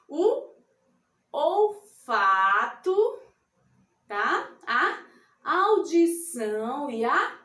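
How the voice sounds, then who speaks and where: Woman, teacher for young children, drawn-out patient delivery, high voice. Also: pushed and bright. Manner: slow, drawn-out, sing-song teaching to young kids. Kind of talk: children's science lesson. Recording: echoey room.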